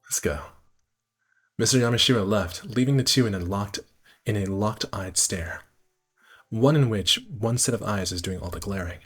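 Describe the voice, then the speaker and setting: Low-voiced man streaming, slightly husky. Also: normal speed, a bit bright, faint music behind. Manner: stumbles over words and rereads phrases while narrating. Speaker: streamer reading a visual novel aloud. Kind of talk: amateur read-aloud narration with casual commentary.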